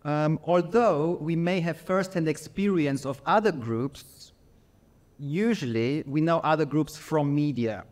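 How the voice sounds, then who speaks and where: Austrian man giving a measured lecture. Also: fairly high pitch, a little mellow. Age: middle-aged. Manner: measured, pausing often with fillers. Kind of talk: workshop lecture.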